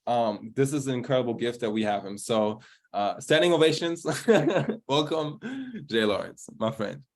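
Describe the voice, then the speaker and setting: An upbeat man hosts a group coaching session over a video call. He talks casually and hypes up the people he introduces, in a voice of ordinary pitch. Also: moderate pace. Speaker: host of online group call.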